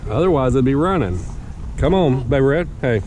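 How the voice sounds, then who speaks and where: A man's voice: mid-range voice, expressive, brisk. An older man, coaching kids on fishing in a plainspoken, folksy way, recorded outdoors on a riverside dock with a wearable action camera. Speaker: host fishing with grandkids. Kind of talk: casual instruction and chat with children. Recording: heavy wind noise outdoors.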